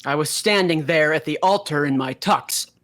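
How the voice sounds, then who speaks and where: A man's voice: on the high side, moderate pace, fairly bright tone, dry recording. Dry, close-sounding man reading dialogue. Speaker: character reader in a group fan fiction reading. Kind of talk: acted dialogue reading with joking asides.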